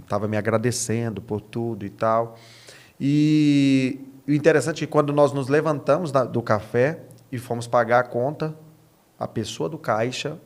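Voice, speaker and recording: A man's voice: mid-range voice, gravelly. A Brazilian man speaking as a pastor and podcast guest, earnest and emphatic, mixing conversational preaching with anecdotes and rhetorical questions. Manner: earnest, emphatic, punctuates points with rhetorical questions and tag checks.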